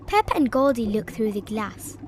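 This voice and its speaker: A woman's voice: a little high, big pitch swings, moderate pace, a little hiss or hum. A woman narrating a children's picture book as a read-aloud. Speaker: storybook narrator. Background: music behind the voice.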